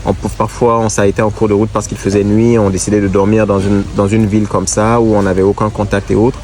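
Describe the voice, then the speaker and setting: A man with a cold and a congested voice, looking back on a motorcycle road trip and giving advice in a measured, halting way. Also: fairly low pitch, level tone, a little mellow, faint music behind. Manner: measured, halting, gives advice directly to viewers. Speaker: motorcycle traveler. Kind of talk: casual reflective talk to camera.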